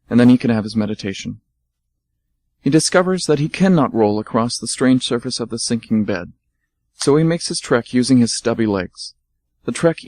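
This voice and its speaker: Calm male radio drama narrator. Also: mid-range voice, dry recording.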